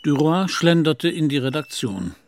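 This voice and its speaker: A man's voice: a little high, animated, a little slow, soft background music. A man narrating a literary audio drama in German as a scripted storyteller.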